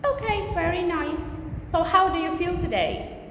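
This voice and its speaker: A woman's voice: very high-pitched, lively intonation, measured pace. A woman in her thirties from Belarus teaching English, asking simple short questions and repeating answers encouragingly. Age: 30s. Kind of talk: spoken English drill with a student. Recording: echoey room with loud ambient noise.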